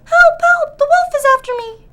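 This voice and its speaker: A woman voicing a small, foolish male pig in a storybook reading, high and shrill. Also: lively intonation, brisk, very noisy. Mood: squeaky.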